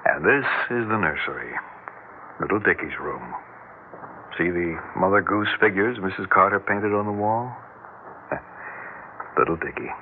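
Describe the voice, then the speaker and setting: Low-voiced, matter-of-fact house guide. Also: male voice, unhurried, long pauses. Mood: casual, musing. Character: matter-of-fact, observant man showing a house. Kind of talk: 1960s radio drama acting, conversational. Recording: tinny, faintly noisy 1960s radio recording.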